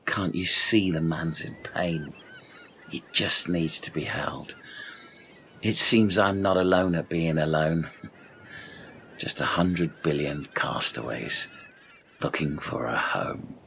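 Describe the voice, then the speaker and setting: A man's voice: rumbling low, slow, gravelly, pauses often, fairly bright tone, a little hiss or hum, full character voice. A man playing an earnest, pedantic know-it-all castaway in a comedy radio play. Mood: earnest, consoling.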